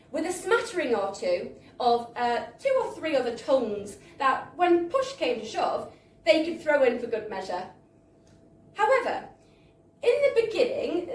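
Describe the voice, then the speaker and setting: A woman reads a story to a live room in a high, theatrical voice. Her pitch swings freely and expressively. She sounds distant from the mic in an echoey space. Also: slight background noise. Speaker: reader of a book excerpt. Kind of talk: live dramatic storytelling reading. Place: live book launch in a bar.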